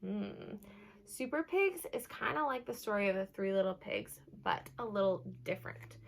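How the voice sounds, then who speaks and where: A woman teacher reading a storybook aloud to young children, pausing to explain simply and ask them questions. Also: medium pitch, expressive, creaky, slight background noise. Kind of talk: children's storybook read-aloud with asides to listeners.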